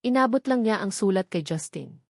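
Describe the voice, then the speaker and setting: A woman's voice: mid-range voice, animated, fairly quick, vocal fry, no echo, clean studio sound. Woman narrating a dramatic love story. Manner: earnest, storytelling.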